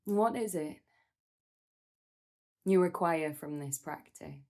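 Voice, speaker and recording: A woman leading a guided meditation in a soothing, gentle manner, with measured instructions and pauses. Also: sits low.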